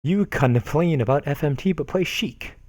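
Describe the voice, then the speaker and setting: A man's voice: a little high, normal speed, a little hiss or hum. Man doing mock-dramatic post readings. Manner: mock-dramatic, profane, swings between loud and soft. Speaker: stream host. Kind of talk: dramatic reading of forum posts.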